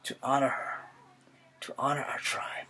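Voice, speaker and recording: Man acting hushed, solemn young soldier. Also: in a whisper, close to an everyday voice. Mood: pleading, proud. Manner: hushed, halting, earnest delivery. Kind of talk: dramatic acted monologue.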